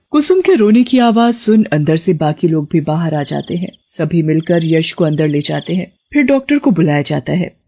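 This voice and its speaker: A woman's voice: fairly low pitch, mellow. A woman narrating a scripted story dramatically as a storyteller.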